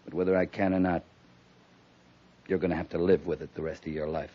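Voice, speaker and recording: An actor playing a stern, principled cavalry captain with measured authority and dry humor in a 1950s radio drama. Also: male voice, low voice, flat delivery, lightly acted. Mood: grave, restrained. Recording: muffled, noisy 1950s radio recording.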